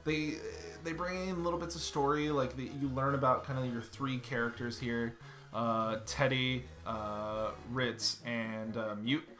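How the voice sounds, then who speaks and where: Bright-voiced chatty man in his thirties. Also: mid-range voice, steady tone, a little slow, faint music behind. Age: 30s. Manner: chatty, rambling, swears casually. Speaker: streamer. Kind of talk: casual let's-play commentary. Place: home room.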